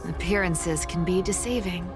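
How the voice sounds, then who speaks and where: A woman's voice: lowish voice, normal speed, natural voice. A woman voicing a sly, smug trickster in a video game, theatrical and teasing, with a young adult sound. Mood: mock pity. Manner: teasing, mock-sweet, chuckling asides. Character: sly, smug, playful female trickster with ancient secrets. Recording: music behind the voice. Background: game music.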